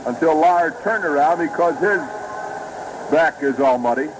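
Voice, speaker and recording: Male American sportscaster, fifties radio play-by-play, fairly high voice, formal yet dramatic, noisy old broadcast. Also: unhurried. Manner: formal, detailed, dramatic narration of each play. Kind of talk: 1950s American football radio commentary.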